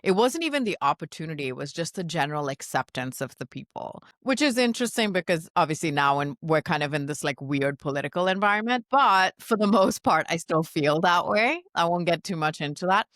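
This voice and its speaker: A woman from India telling her story casually as a podcast guest, in a chatty, animated manner. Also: lowish voice, brisk, few pauses, dry recording, clean studio sound. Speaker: podcast guest, consultancy founder.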